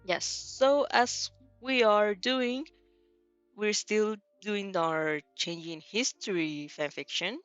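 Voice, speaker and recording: A woman's voice: medium pitch, takes it slowly, a bit bright, no echo, soft background music. A woman hosting a casual fanfiction read-aloud, hesitant and giggly, often correcting herself as she goes. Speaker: host of fanfiction reading show.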